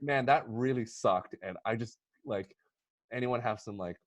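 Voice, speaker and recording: A man talks casually on a podcast panel in a close, dry recording, with a middling pitch. He rambles excitably through a comedic pitch and slips into little acted-out quotes. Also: measured pace, no room sound.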